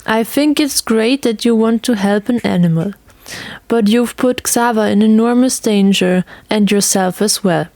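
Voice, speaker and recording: A woman voicing a stern but caring old grandfather in an audio story, measured and lecturing. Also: a little high, darkish tone, dry recording. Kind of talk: audio story character acting.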